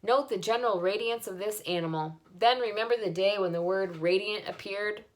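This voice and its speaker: A woman voicing a pompous male fair announcer on a loudspeaker, speaking in a grand, showy way. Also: medium pitch, moderate pace, natural voice, poor audio.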